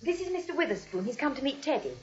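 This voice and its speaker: High, rapid-fire young sweetheart. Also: female voice, big pitch swings. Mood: brisk, pert. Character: pert, brisk young sweetheart. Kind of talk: 1940s radio comedy acting. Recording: dull vintage radio recording.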